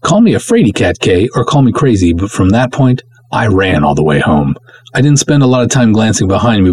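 A man's voice: on the low side, a little hiss or hum. A man voicing a plucky, jittery young woman who recounts a spooky night in slangy, old-fashioned storytelling style. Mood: rattled, breathless suspense. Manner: animated, slangy, breezy storytelling. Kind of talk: dramatic first-person story reading with 1950s slang.

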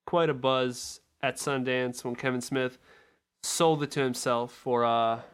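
A man's voice: mid-range voice, fairly even intonation, a little slow, fairly bright tone, clear, resonant tone. A man co-hosting a podcast, giving casual commentary on films and shows. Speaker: podcast co-host.

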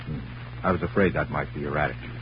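A man's voice: a bass voice, steady tone, fast. An actor playing a cocky, unflappable tough guy in a 1940s hardboiled radio drama, slangy and sardonic, teasing with endearments. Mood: cool, sardonic. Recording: muffled old radio recording, faint hiss.

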